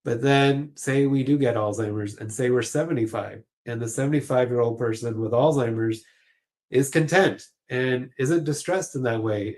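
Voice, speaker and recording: Halting, thoughtful man in conversation. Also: mid-range voice. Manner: halting, tentative, thinking aloud. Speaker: clinical social worker guest. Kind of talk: conversational panel discussion.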